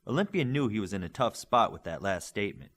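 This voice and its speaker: Animated male audiobook narrator. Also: on the low side, fast. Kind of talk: scripted fiction narration with character dialogue.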